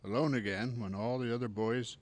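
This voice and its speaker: A man's voice: mid-range voice, steady tone, a little fast, natural voice. A man giving scripted storybook narration in a radio drama.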